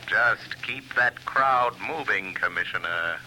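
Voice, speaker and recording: An actor playing a mysterious male vigilante who speaks calmly and commandingly, in 1930s radio drama style, heard through an old broadcast with a faint hiss. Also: fairly low pitch, takes it slowly, exaggerated voice, rough recording. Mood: taunting, urgent. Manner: calm, commanding, mocking laugh.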